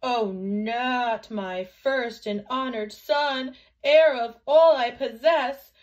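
A dignified, doting mother from a children's storybook, acted by a woman reader. Her voice is high and anxious, with formal, drawn-out words and loud urgency. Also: lo-fi sound. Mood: alarmed.

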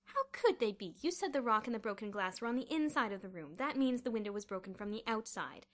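A calm middle-aged headmaster's wife acted by a woman narrator, with a fairly high voice. She is patient, soothing and gently reproving, a sensible storybook character. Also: fast talker, lightly acted.

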